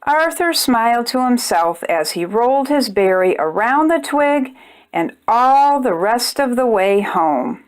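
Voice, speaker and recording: A woman's voice: a little high, lively intonation, unhurried. A woman hosting a children's storytime, reading aloud expressively and speaking directly to young listeners. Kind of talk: children's read-aloud narration.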